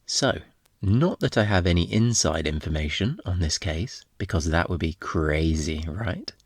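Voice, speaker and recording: Man playing a cocky flirtatious thief, low-voiced. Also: lively intonation, a little slow, husky, no echo, studio quality, heavily acted. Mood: sarcastic, cheeky. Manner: teasing, theatrical, sarcastic, swooping into mock quotes. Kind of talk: ASMR roleplay acting.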